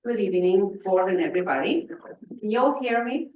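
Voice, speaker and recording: A woman with a low voice presenting a finance report at a school board meeting, explaining carefully with many fillers. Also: lively intonation, a little fast, phone-quality sound. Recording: echoey room, distant mic.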